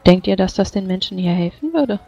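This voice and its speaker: A woman's voice: medium pitch, expressive, a little fast, dark tone, husky. A woman improvising dialogue in a tabletop roleplaying game, tentative and searching for words, on a lo-fi microphone. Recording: dull, lo-fi microphone sound.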